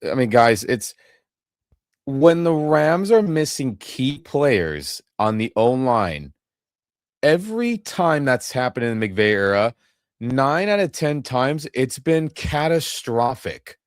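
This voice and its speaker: Podcast co-host with a head cold, nasal and irritated, pitch all over the place, dry sound. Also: male voice, slow pace, no room sound. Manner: irritated, venting, animated. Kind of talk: casual sports reaction chat. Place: home in Los Angeles. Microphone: webcam setup.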